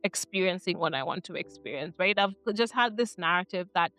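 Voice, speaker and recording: A woman's voice: on the low side, lively intonation, a little fast, pushed and bright, low-quality recording. A middle-aged woman from Kenya giving an earnest, reflective talk to camera, often restarting her phrases and checking in with "right?". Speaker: vlogger.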